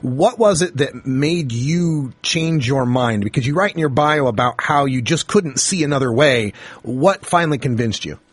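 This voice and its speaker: A man's voice: medium pitch, normal speed, hardly any pauses, husky, dry recording. A man hosting a radio talk show, welcoming a guest and asking questions in a friendly way. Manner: friendly, leading questions with filler words. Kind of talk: radio interview.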